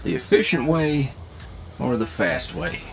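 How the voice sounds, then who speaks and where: A man's voice: a little high, a little slow, over music. A man playing a cocky, seasoned demonic hunter who teaches an apprentice in a folksy drawl, in an audio roleplay. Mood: instructive.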